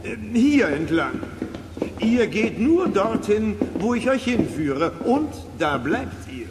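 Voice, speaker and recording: A cranky, threatening eccentric from a spooky radio play. He scolds and orders in a very high, swooping voice, over clear background noise. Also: very animated, takes it slowly, full character voice. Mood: stern, bossy. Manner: stern, scolding, bossy. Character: cranky, threatening costumed man of a spooky house. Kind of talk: German children's radio play acting.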